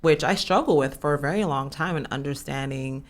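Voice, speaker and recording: Low-voiced, thoughtful middle-aged woman. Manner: thoughtful, measured, searching for words. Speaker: podcast guest, founder. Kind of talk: reflective personal interview.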